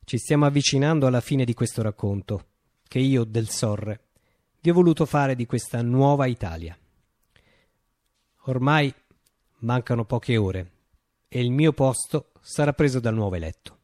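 A man's voice: medium pitch, animated, normal speed, long pauses, darkish tone, dry recording, clean studio sound, lightly acted. Man playing a declamatory, swooping revolutionary visionary. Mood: solemn farewell. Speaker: actor performing a radio play. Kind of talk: theatrical radio monologue.

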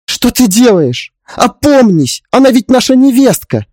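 A man's voice: sits high, animated, slow pace, a little mellow, no echo, clean studio sound, pitched above the performer's own voice, full character voice. A man voicing a submissive middle-aged mother-in-law in an audiobook drama, anxious and pleading in panic. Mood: pleading, panicked.

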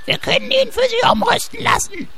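An actor playing a pushy little goblin mechanic and salesman in a comedy audio drama, squeaky and shrill. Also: steady tone, brisk, creaky, bright, forceful tone, full character voice. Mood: eager, pushy.

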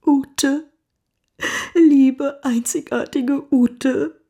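An actress playing a tender, anxious, emotional woman in a radio drama, with a breathy voice. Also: high-pitched, fairly even intonation, very slow, mellow, full character voice. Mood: tender distress.

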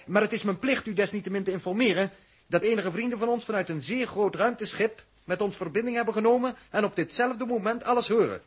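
An earnest male space crewman, choosing formal words. His voice sits high and sounds husky. It is a 1960s radio drama. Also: fast.

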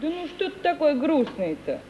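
A woman's voice: high voice, sing-song, normal speed. An actress playing a tender, wistful young woman in love, coaxing and emotional, in an old radio play. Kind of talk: 1950 Russian radio play acting. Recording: muffled, hissy 1950s radio recording.

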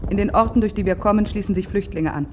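A woman reading terse diary entries in a measured, matter-of-fact way for a radio drama. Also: mid-range voice, noisy background. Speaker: diary reader.

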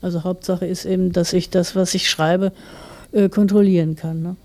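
A woman's voice: lowish voice, steady tone, moderate pace, dark tone. A woman in her forties from Germany, explaining things as an interviewed writer. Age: 40s.

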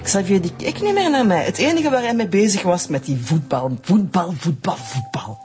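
An actress playing a neglected, hesitant wife confessing an affair in a radio drama, with a Flemish accent. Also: female voice, lowish voice, big pitch swings, normal speed, over music. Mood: wounded, defensive. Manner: hesitant, defensive.

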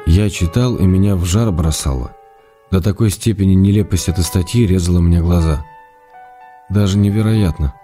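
A low, warm male voice over gentle music: an actor as a writer husband in a radio play of intimate letters. He is affectionate and self-restrained. His delivery is measured, with a wry edge. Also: close to an everyday voice. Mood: wry, reassuring.

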